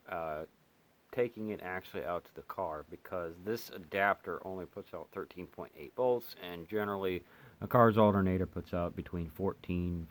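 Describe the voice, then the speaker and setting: A man with a very low voice talks through a casual hands-on demo. He explains in an unscripted, halting way, like a hobby reviewer showing gear. There is clear noise behind him. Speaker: host of a hobby radio review channel. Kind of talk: casual hands-on product demonstration. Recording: noticeable background noise.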